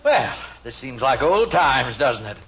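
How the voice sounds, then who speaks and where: An actor playing a pushy, genial American guest in a 1950s radio sitcom, hearty and oblivious. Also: male voice, mid-range voice, sing-song, unhurried, a bit bright. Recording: dull, hissy old radio recording.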